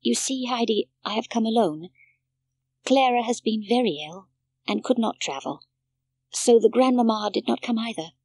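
A woman's voice: fairly high pitch, normal speed, darkish tone, husky, no echo. A woman reading a fatherly, tender doctor. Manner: tender, soothing. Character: gentle fatherly male doctor.

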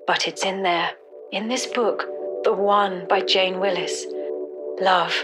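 An actress playing an earnest, mystical announcer in a parody self-help book advertisement. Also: female voice, medium pitch, steady tone, slow, lightly acted. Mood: dreamy, earnest. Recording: loud music under the voice.

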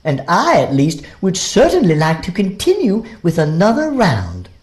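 A man's voice: high-pitched, wildly swooping pitch, normal speed, voice pushed up. A man voicing a prim, conciliatory female schoolteacher in an audio story, with polite, proper phrasing. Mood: placating. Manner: polite academic propriety.

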